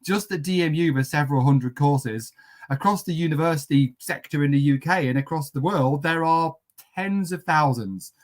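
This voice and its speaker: Upbeat male panel host, slightly high voice, jokey and warm with guests. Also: moderate pace. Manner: jovial, teasing. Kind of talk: hosting a casual online panel.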